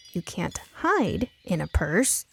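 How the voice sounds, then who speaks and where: A woman's voice: mid-range voice, a bit bright, heavily acted. Woman acting a huffy, stubborn young heroine. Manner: gruff, huffy, emphatic. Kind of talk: audiobook character dialogue.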